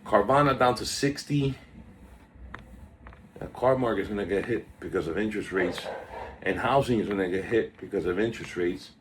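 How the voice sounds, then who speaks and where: Chatty low-voiced man rattling off stock prices. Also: moderate pace, a little hiss or hum. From South Florida. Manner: chatty, drifts off topic, reads out stock tickers. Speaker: stock market video host. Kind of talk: casual rambling market commentary. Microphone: phone.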